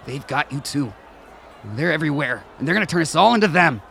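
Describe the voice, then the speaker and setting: A man's voice: on the high side, sing-song, fairly quick, vocal fry, sharp tone, a little hiss or hum, heavily acted. An actor playing a deranged, paranoid middle-aged father in a radio drama, raving feverishly about invisible creatures. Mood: paranoid dread. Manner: agitated, frantic, paranoid.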